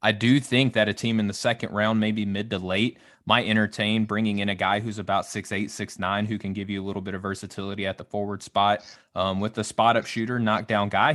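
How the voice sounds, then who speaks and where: A man's voice: fairly low pitch, fairly bright tone. A man from Arkansas with a Southern US accent, a courteous, folksy podcast guest giving casual sports analysis.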